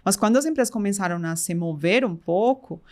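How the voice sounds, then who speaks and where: A woman's voice: medium pitch, expressive, a little fast, a little mellow. A middle-aged woman from Colombia with a strong Colombian Spanish accent, speaking Portuguese with Spanish mixed in, as an interview guest who often restarts her sentences. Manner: thoughtful, many restarts, mixes Spanish into Portuguese. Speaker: guest, company co-founder. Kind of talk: casual interview talk.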